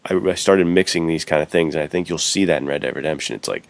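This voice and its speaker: A man with an extremely low voice that crackles with fry talks in a loose, slangy podcast style. His phrasing is bro-like and conversational, as a co-host swapping opinions. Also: quick pace. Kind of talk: casual podcast chat.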